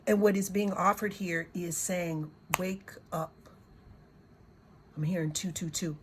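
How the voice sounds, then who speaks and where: Slow hoarse woman reading cards. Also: lowish voice, steady tone, slight background noise. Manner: unhurried, circling phrasing with repeated words. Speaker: tarot and oracle card reader. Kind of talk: slow, reflective spiritual card reading.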